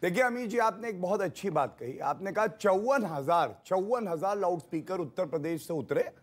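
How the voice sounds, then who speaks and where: A man's voice: sits high, animated, moderate pace. A man speaking as a party spokesman in a TV debate, with a teasing, theatrical manner. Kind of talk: televised political debate.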